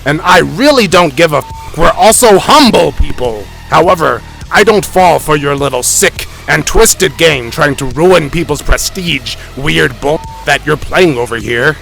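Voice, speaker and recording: A man's voice: on the high side, expressive, unhurried, gravelly, fairly bright tone, exaggerated voice, poor audio. A man playing a boastful, indignant internet tough guy who rants profanely in a dramatic reading of forum posts. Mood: boastful, defensive. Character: boastful, ranting, insecure internet tough guy claiming royalty. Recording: music under the voice.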